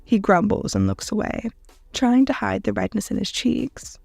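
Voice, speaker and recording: A woman narrating a scripted second-person story in a dramatic manner with deliberate pauses. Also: mid-range voice, wildly swooping pitch, vocal fry, a little mellow, husky, no echo, faint music behind. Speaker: narrator of fan fiction audio.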